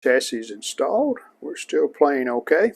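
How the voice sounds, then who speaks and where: A man hosts a hobby restoration vlog in a voice with plenty of gravelly fry. His pitch sits in the middle of the male range. He talks through the hands-on work casually and plainly, showing each piece as he goes. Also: big pitch swings, normal speed. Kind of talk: casual hands-on workshop narration.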